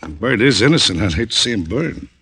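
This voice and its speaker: An actor playing a weary, skeptical, plain-spoken police lieutenant in an old-time radio detective drama. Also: male voice, on the low side, sing-song, a little fast, background noise. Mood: grim, skeptical.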